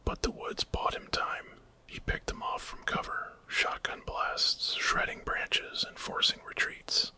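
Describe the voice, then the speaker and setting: Male narrator for horror ASMR: fully whispered, crisp sharp hiss, dramatic scripted read. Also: slow pace. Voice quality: breathy, unvoiced whisper. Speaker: storyteller, narrator.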